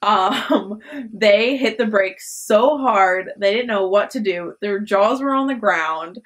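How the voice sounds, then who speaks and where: A woman's voice: mid-range voice, slow, fairly bright tone. A young woman hosting her own vlog, telling a funny story in a chatty way with lots of fillers and laughs. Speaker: vlog host. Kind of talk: casual storytelling.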